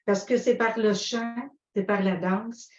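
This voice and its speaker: A woman making a personal comment, deliberate and restating her phrases, on dull, roomy call audio. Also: medium pitch, fairly even intonation. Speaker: audience participant. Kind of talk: personal comment at an online conference.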